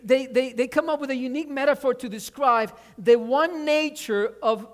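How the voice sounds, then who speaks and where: A man whose first language is Spanish, preaching a sermon with energy, often restarting words and asking for an amen. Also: extremely high pitch. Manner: animated preaching, repeats words, asks amen. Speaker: pastor.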